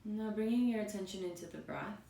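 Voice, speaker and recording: Woman meditation guide, soothing and spacious phrasing; roomy, echoing recording with faint background rumble. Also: creaky, dark tone. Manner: soothing, unhurried phrases with pauses. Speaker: yoga and meditation teacher. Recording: roomy, distant mic, faint noisy rumble.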